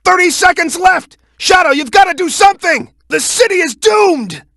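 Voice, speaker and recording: A man's voice: very high voice, expressive, normal speed, creaky, pushed and bright, no room sound, studio quality, full character voice. An American man voicing a tough, hot-headed, heroic young cartoon echidna in a video game, urgent and gung-ho in manner. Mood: alarmed shouting. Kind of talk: animated video game voice acting.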